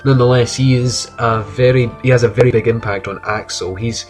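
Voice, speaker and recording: A man's voice: medium pitch, fairly even intonation, brisk, darkish tone. A man with a cold giving earnest, casual commentary on his favorite game characters, often restarting his sentences as he thinks aloud. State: has a cold. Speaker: video game fan commentator. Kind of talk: casual ranked-list commentary. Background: music.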